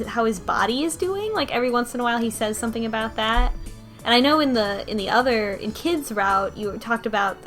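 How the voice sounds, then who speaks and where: A woman hosting a casual group discussion, retelling a story in a halting, conversational way. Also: fairly high pitch, a bit bright. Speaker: podcast host recapping the story. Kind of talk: casual group recap discussion of a visual novel.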